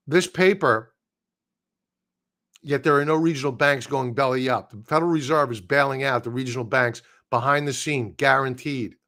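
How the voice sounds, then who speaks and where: A man hosting a live market stream, talking through charts in a blunt, opinionated way with casual slang, his voice going hoarse and breaking into throat-clearing. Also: a little high, a bit bright. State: losing his voice.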